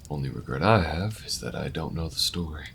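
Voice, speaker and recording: A man playing an easygoing, weathered alien bounty hunter in an intimate audio roleplay, talking in a folksy, unhurried way with murmured asides. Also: extremely low pitch, lively intonation, creaky, fairly bright tone, slight background noise, exaggerated voice. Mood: wistful, reflective.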